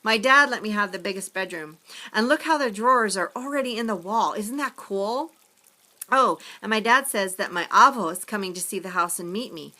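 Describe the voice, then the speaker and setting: Storybook role: excited young boy, read by a woman. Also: mid-range voice, moderate pace.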